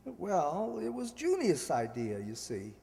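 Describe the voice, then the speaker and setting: Radio play ex-husband, male actor: suave, courtly, teasing, animated pitch, reverberant hall. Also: slow, creaky, heavily acted. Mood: breezy, teasing. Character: suave, teasing, gentlemanly upper-class ex-husband. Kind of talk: stage-style radio play acting. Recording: echoey hall, stage radio performance.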